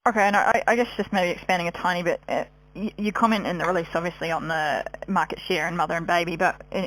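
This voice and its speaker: Lower-pitched woman analyst, tentative questioning. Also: fairly bright tone, low-quality recording. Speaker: financial analyst caller. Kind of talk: questions on an earnings call.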